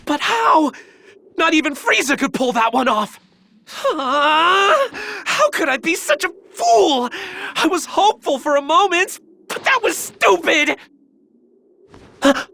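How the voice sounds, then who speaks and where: A man voicing a scheming, excitable young demon scientist villain in an anime game, dramatic and self-absorbed. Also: very high-pitched, takes it slowly, creaky, heavily acted. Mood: frantic, desperate. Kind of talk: English anime game dub acting.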